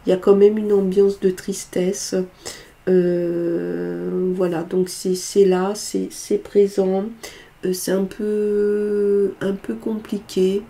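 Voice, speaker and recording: Woman w a stuffy cold doing a tarot reading, mellow dark voice, keeps hesitating. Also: medium pitch, little pitch movement, slow pace, creaky. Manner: hesitant, many filler sounds. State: has a cold, congested voice. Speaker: tarot card reader. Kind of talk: casual weekly tarot reading.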